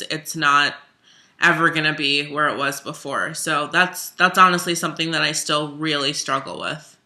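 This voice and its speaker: Bright-voiced young adult speaking candidly. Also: medium pitch. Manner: open and expressive, with frequent restarts and fillers. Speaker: singer and musical theater major talking about their own transition. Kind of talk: candid self-interview, reflective casual talk.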